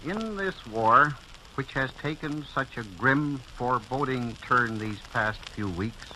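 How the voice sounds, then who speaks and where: A man's voice: medium pitch, expressive, unhurried, sharp tone, low-quality recording. A man delivering a solemn 1940s wartime radio address in a grave, oratorical manner. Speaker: government public information director. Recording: hissy 1940s radio recording.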